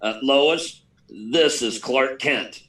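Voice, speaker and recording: An actor playing a harried, gruff newspaper editor in a 1940s-style radio serial, stammering and barking clipped orders, recorded at a distance. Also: male voice, medium pitch, very slow, fairly bright tone. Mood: gruff, hurried. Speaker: actor in a live radio play. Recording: distant, far from the mic.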